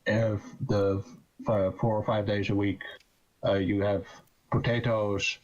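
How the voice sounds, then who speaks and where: A man's voice: lowish voice, level tone, takes it slowly, a little mellow, dull audio. A young man from the Netherlands with a Dutch accent, talking casually as a guest with many fillers and restarts. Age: young adult. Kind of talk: casual chat.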